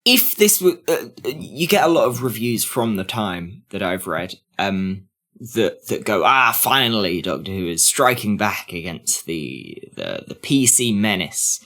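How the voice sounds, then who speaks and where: A man in a casual review discussion as a podcast panelist, halting as he searches for words. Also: on the low side, sing-song, pushed and bright.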